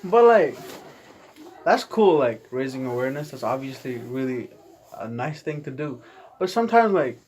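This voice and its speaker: A man's voice: medium pitch, sing-song, moderate pace, darkish tone. A young man from Saudi Arabia, talking casually as a podcast guest, loose and rambling with lots of "like". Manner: loose, rambling, many likes. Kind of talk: casual chat.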